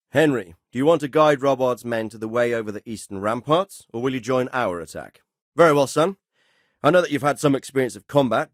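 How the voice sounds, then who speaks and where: An actor playing a middle-aged medieval nobleman commander in a video game, grave, fatherly and authoritative, speaking in a measured, formal way. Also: medium pitch, no echo, studio quality, close to an everyday voice. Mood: measured, commanding, then concerned, fatherly. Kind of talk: dramatic video game dialogue acting.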